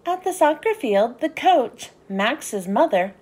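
A woman narrates a children's storybook in a high voice that swoops up and down. Her delivery is animated and deliberate, with a soft, mellow tone and an unhurried pace suited to young listeners. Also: slightly rough. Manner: animated, sing-song, deliberate phrasing. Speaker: children's book read-aloud host.

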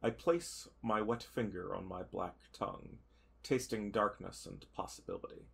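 A man narrating a tabletop roleplay character in the first person, with literary, deliberate phrasing. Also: on the low side, creaky, slightly rough.

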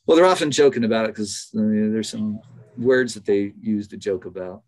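A middle-aged man, a biologist, talking casually as an interview guest over a video call from his office, relaxed and laughing often. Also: fairly low pitch, normal speed. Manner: relaxed, chatty, laughs often, some restarts. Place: office with bookshelf. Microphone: video call webcam.

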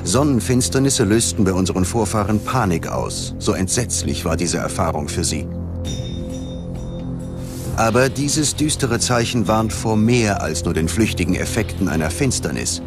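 A man narrating a documentary from a script in a dramatic style. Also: on the low side.

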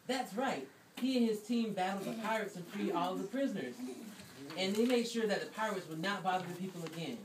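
A woman's voice: medium pitch, fairly quick, a crowd in the background, lightly acted. A woman playing a good-natured, plain-spoken farmer in an amateur puppet show. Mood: admiring, chatty. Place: classroom, performers behind a draped table. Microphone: distant camera. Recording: noisy, echoey classroom from far off.

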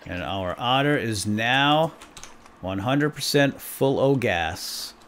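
Man, medium pitch, lively intonation, playful gaming commentary, folksy, casual, close mic. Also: slow, slight background noise. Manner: animated, playful, talks to himself with mild folksy exclamations. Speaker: gaming YouTuber, let's-play host. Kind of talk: casual let's-play commentary with light role-play.

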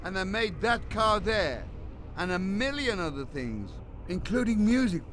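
Cryptic heavy-metal guardian for a game, high-voiced and rambling, faint noise behind. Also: male voice, very animated, a little slow, a bit bright, exaggerated voice. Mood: cryptic, musing. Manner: rambling, theatrical, swearing freely. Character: cagey rambling old male guardian of metal secrets. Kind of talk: video game cutscene acting.

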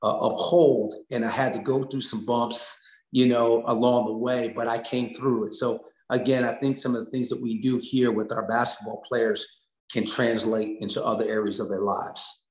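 A man's voice: medium pitch, flat delivery, normal speed. A middle-aged American man, a coach giving earnest, encouraging answers in a conversational interview over a video call. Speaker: interview guest, assistant basketball coach. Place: small indoor room on a video call. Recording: roomy, echoing room sound.

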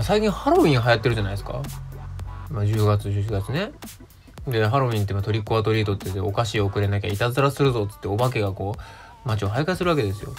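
A man's voice: fairly low pitch, a little mellow. A man around thirty with a Kansai accent, hosting a casual radio show as a solo monologue.

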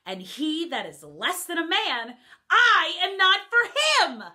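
A woman's voice: extremely high pitch, very animated, slow, pushed and bright, pitched above the performer's own voice, heavily acted. A woman playing a witty young woman in a classical comic monologue, who mocks the idea of marriage with teasing, playful wit. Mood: mocking.

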